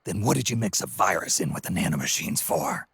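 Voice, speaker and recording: Super raspy growly old soldier, low, terse and suspicious, video game. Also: male voice, lively intonation, fairly quick, fairly bright tone, no room sound, full character voice. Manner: terse, blunt. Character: gruff, weary, suspicious veteran soldier. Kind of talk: video game cutscene voice acting.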